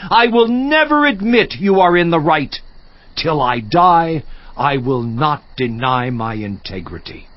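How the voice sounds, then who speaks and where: Defiant suffering righteous man, scripture drama, emphatic, fairly high voice, slow delivery, dull recording. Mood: defiant, embittered. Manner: theatrical, emphatic. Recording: slightly muffled audio.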